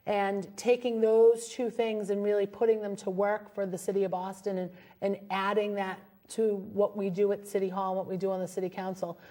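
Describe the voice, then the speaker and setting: Mellow-voiced woman candidate, earnest. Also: medium pitch. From United States. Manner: earnest, fluent, upbeat campaign talk. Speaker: city council candidate. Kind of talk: interview answers.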